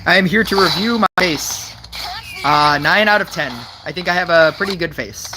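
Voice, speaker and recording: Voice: mid-range voice, moderate pace. Giggly rambling game streamer, noisy recording. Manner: chatty, giggly, rambling with many fillers. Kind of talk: casual gameplay commentary with chat.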